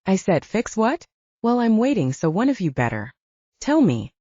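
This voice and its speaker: A woman's voice: medium pitch, big pitch swings, normal speed, dark tone, no room sound. A woman voicing a tough but distressed young female soldier in an audiobook, demanding and emotional, cursing as she talks. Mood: stern, demanding. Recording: dull lo-fi audio, dry.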